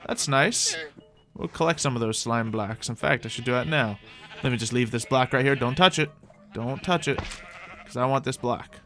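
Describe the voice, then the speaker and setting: A man's voice: mid-range voice, normal speed, bright, forceful tone, soft background music. A man giving casual, rambling live gameplay commentary as a streamer, playfully talking to himself and to the animals in the game.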